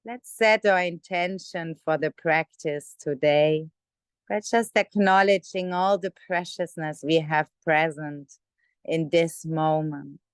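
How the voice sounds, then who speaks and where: A woman leading a guided meditation in a gentle, unhurried manner with many pauses. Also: low-pitched, clean tone, no room sound. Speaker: meditation teacher. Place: online video call session.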